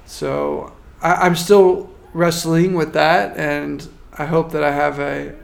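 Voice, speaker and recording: A man working as a marketing consultant, giving a measured, thoughtful interview answer with frequent restarts. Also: on the high side, little pitch movement, vocal fry. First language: not French.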